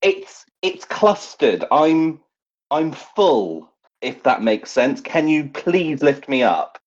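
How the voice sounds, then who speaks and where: A man's voice: a little high, sing-song, takes it slowly, pitched above the performer's own voice, full character voice. A man voicing a groggy, sheepish male adventurer who pleads, in a tabletop roleplay game. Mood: sheepish, pleading. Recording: dull, lo-fi game recording.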